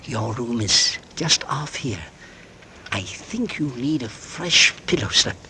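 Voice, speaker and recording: An actor playing an anxious, suspicious married gentleman in 1940s radio suspense style, formal and deliberate, with hesitant phrasing. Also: medium pitch, lively intonation, a little hiss or hum, heavily acted. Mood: composed. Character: anxious, suspicious married gentleman narrating his own torment. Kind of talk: 1940s radio suspense acting and first-person narration. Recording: old radio recording with dramatic music behind.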